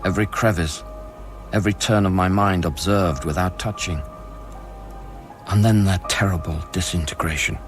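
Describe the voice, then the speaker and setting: A haunted scientist narrating a radio drama in a very low voice. He speaks in measured, inward-looking, literary phrases as he recounts life on an alien world. Music plays clearly behind him. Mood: haunted, bleak.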